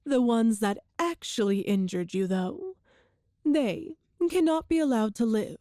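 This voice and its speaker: Woman playing a slow, silky evil empress. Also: fairly high pitch, lively intonation, mellow, no echo, studio quality. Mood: cold command. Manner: imperious, deliberate, silky menace. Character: cruel, soulless, seductive evil empress, imperious and vengeful. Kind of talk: ASMR roleplay audio drama.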